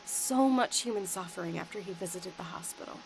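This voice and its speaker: An actress voicing a firm, serious captain of an investigation agency in a visual novel, with an assertive manner. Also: female voice, lowish voice, a little fast, creaky, noisy background. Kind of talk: English visual novel voice acting. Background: music.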